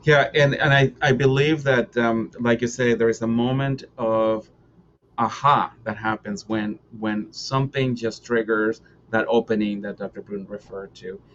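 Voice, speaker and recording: A man's voice: medium pitch, normal speed, fairly bright tone. A man moderating a post-show discussion, recorded over an online video call. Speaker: panel moderator. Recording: dull video call with background noise.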